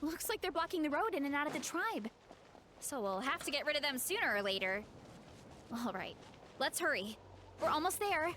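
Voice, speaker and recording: A woman's voice: high voice, sing-song, normal speed, pauses often, bright, forceful tone, a little hiss or hum, exaggerated voice. A woman voicing a cheerful, modest, athletic young adventurer in a video game, easygoing and encouraging, with music behind. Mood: breezy, determined.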